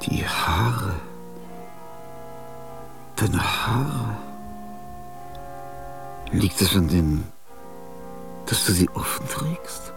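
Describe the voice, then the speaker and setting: An older man acting in a radio play, with a husky, gravelly voice and a halting, dreamlike monologue that slips into whispers. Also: lowish voice, expressive, recorded from a distance, over music. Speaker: radio drama actor.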